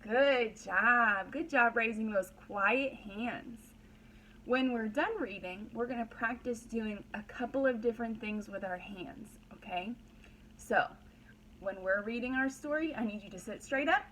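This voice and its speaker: A woman's voice: fairly high pitch, measured pace, a bit bright, a little hiss or hum, poor audio. A woman pre-kindergarten teacher leading a read-aloud lesson for young children, using simple, repeated phrases and prompting questions.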